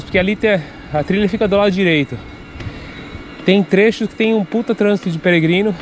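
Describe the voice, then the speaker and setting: A man's voice: fairly high pitch, background noise. A man with a stuffy, congested voice chatting casually as he cycles country roads, picked up by a camera mounted on his handlebars. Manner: chatty, loose and rambling, with restarts. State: congested from a cold. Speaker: cycling vlogger. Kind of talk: casual running commentary while cycling. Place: outdoors on a bicycle on country roads. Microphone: action camera on the handlebars.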